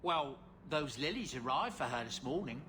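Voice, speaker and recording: A man playing a polite, helpful household servant in a video game, gentle in manner. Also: medium pitch, expressive, moderate pace, lightly acted. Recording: faint background ambience noise.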